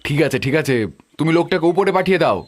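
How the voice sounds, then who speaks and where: A man's voice: fairly high pitch, very fast, husky. A man playing a kindly, trusting landlord and devoted husband in a melodramatic cartoon story. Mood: genial, commanding.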